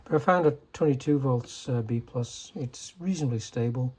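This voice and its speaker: A man giving a casual, unscripted technical demonstration in a halting, low-key way, like a restorer explaining equipment. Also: mellow, breathy edge. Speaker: vintage radio restorer demonstrating a set.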